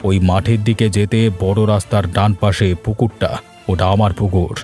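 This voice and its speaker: A man's voice: on the low side, level tone, moderate pace, over music, lightly acted. A man voicing a stern, domineering village headman in a cartoon, scolding and interrogating in a commanding manner. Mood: stern, accusing. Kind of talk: cartoon dialogue.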